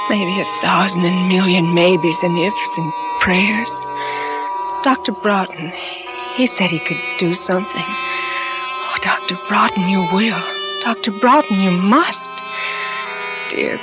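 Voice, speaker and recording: An actress playing a desperate woman in a 1950s radio drama, hushed and pleading. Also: medium pitch, natural voice. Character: desperate woman praying for a doctor. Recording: muffled old radio transcription with music.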